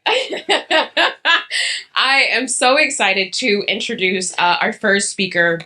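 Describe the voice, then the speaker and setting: A woman hosting a business conference, an upbeat, energetic emcee hyping up the crowd. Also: mid-range voice, slow pace. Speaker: event host. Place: conference hall.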